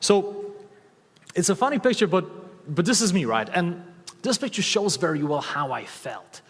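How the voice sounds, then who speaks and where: A man from Poland, speaking English as a second language, gives an energetic motivational stage talk and asks the audience questions. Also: sits high, normal speed, breathy edge. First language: Polish. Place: large hall with audience. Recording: long hall reverb.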